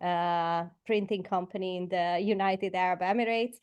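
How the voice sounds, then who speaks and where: A woman's voice: on the low side, fairly even intonation, normal speed, a little mellow, clear, resonant tone. A woman in her forties from Hungary with a Hungarian accent, a guest entrepreneur in a podcast interview, speaking with many fillers and restarts. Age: 40s.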